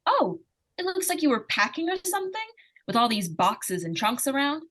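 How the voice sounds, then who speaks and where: A woman's voice: sits high, very animated, moderate pace, a bit bright. A woman acting an inquisitive, innocent-seeming young girl in 1940s radio suspense style, with a hesitant, artless, questioning manner. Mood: curious, probing.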